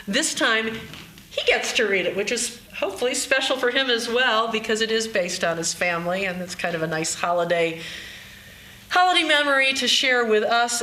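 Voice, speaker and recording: A woman's voice: on the low side, a bit bright. A woman hosting a live event, giving an informal introduction to a reader. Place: room in a historic house, live event. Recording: echoey room with clear background noise.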